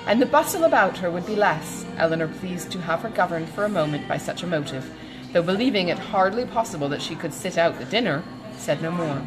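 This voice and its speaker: A woman reading a classic novel as an audiobook narrator, in a measured, expressive storytelling manner. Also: on the low side, over music, poor audio.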